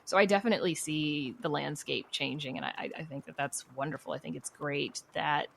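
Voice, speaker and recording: A woman's voice: deep voice, brisk, creaky, a little hiss or hum. A woman speaking as an interview guest in a casual, chatty way, peppering her talk with conversational asides. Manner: chatty, frequent "you know" asides. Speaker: guest, podcast creator and writer.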